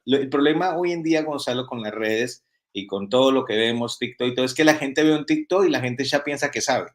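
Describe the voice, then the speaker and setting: Male pastor, video call guest, earnest lecturing tone, asks rhetorical questions. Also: mid-range voice. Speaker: pastor and author. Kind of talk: interview guest. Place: home in Spain.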